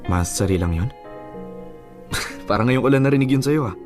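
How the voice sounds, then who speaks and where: A man's voice: mid-range voice, expressive, moderate pace, lightly acted. A man playing a jealous, possessive boyfriend in a radio drama, hurt and insistent as he questions and pleads. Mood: hurt, suspicious. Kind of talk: Filipino radio drama acting. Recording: music bed under the voice.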